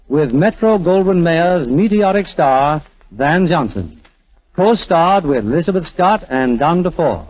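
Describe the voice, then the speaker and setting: Male vintage radio presenter, formal scripted introductions, slightly high pitch, dry and dull old broadcast sound. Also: a little mellow, no room sound. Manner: formal, polished, florid. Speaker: radio theater host. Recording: muffled 1940s radio recording.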